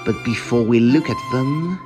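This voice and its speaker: A man playing a deliberate, formal period investigator in an audio drama. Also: mid-range voice, close to an everyday voice. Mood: intrigued, purposeful. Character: measured period investigator examining evidence. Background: violin music.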